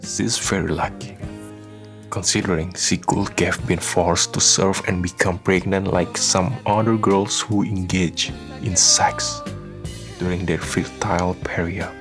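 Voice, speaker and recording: A man reading scripted narration for a radio drama, with soft guitar music behind him. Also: sits low, steady tone, unhurried.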